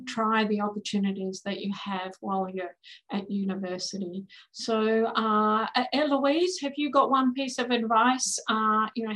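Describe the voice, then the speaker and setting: A woman moderates an online careers panel in a fairly high, even voice. She affirms each answer and asks measured questions with plenty of fillers. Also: rough recording.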